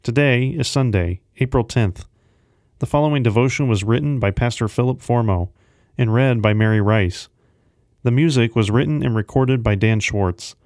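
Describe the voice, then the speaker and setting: A man with a mid-pitched voice hosts a devotional podcast. He reads prepared announcements in a measured, orderly manner. The sound is close and dry, with very little room in it. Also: darkish tone, no echo. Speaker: podcast host. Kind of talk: scripted devotional podcast introduction.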